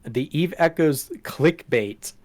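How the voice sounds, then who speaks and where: A man's voice: fairly high pitch, expressive, slow, a little mellow. Male podcast host, rambling and casual. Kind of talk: casual podcast chat, going through show stats.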